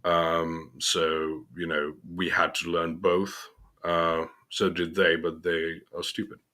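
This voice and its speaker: A man's voice: sits low, level tone, slow pace, sharp tone. A man with a Serbian accent chatting casually, with dry humor and frequent hesitant fillers. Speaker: co-host. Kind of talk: casual chat.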